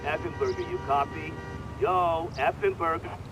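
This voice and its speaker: An actor playing a mocking, juvenile police officer in a video game, with a jeering, slangy manner. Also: male voice, a little high, moderate pace, over music, natural voice. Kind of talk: scripted video game cutscene acting.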